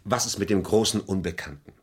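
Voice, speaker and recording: An actor playing an embittered, defiant stage performer under suspicion of murder in a 1970s radio crime drama, aggrieved in manner. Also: male voice, on the low side, fairly quick, breathy edge. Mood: questioning, suspicious.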